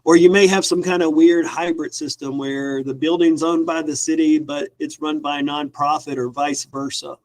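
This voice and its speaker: A man in his fifties, a library director, giving a training webinar; his voice is stuffy and rough, and he talks in a relaxed way with many ums and uhs. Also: fairly high pitch, normal speed, few pauses. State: stuffy, recovering from illness. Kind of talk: webinar lecture.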